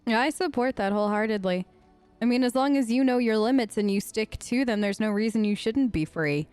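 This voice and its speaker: High-voiced woman, casual role-play chat. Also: a little mellow, faint music behind. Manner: casual, halting, conversational. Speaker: let's player role-playing game dialogue aloud.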